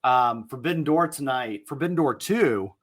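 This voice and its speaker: A man co-hosting a casual wrestling podcast, measured in his speech, with dry sarcastic humor and frequent "um"s. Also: mid-range voice, lively intonation. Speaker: podcast co-host.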